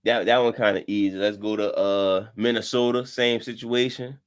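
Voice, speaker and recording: A man co-hosting a casual sports podcast, relaxed and steering the topics, often repeating his words. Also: lowish voice, normal speed, smooth. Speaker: podcast co-host. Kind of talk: casual sports debate chat.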